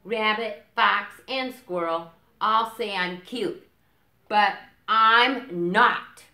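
A woman voicing an indignant, boastful baby owl boy in a storybook, protesting with slow emphasis. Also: mid-range voice, sharp tone, reverberant, voice pushed up, heavily acted.

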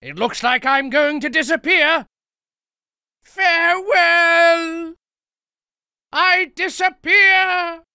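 A man's voice: extremely high pitch, very slow, pauses often, pushed and bright, no room sound, clean studio sound, full character voice. A man voicing a fussy, indignant middle-aged civilian for improvised video game lines, prim, whiny and pleading. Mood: peevish.

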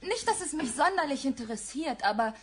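A woman's voice: high voice, lively intonation, brisk, slight background noise. An actress in a German radio crime drama playing a dreamy, defiant woman taken hostage, with a theatrical delivery. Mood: puzzled, uneasy.

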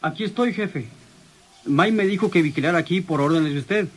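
High, husky male henchman. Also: normal speed. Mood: deferential. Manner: deferential. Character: dutiful, deferential male henchman of crime boss. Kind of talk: old radio drama acting.

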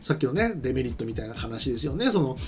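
Animated, quick-talking man explaining things. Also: mid-range voice. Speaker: commentator and co-host, anime and game writer. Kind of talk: casual explanatory commentary. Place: small studio set, indoors. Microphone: desk microphone. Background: background music.

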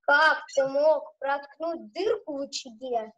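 A child acting a gruff, greedy, bullying puppet-theatre master in an amateur audio play. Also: sits high, takes it slowly, bright, forceful tone, heavily acted. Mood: menacing. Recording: dull, noisy home recording.

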